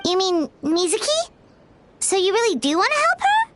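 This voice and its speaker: A woman voicing a tiny, childlike floating fairy companion in a video game, chirpy, blunt and excitable, with a cheeky, exclamatory way of talking. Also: very high-pitched, moderate pace, very noisy. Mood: curious, skeptical.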